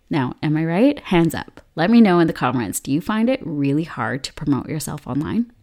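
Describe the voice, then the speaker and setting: A young woman hosting a solo podcast, her voice hoarse from illness, upbeat and chatty with frequent laughs. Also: sits low, warm tone. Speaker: podcast host.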